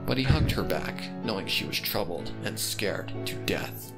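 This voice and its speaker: A man reading scripted fan fiction as the narrator of a dark mystery. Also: on the low side, animated, normal speed. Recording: background music under the voice.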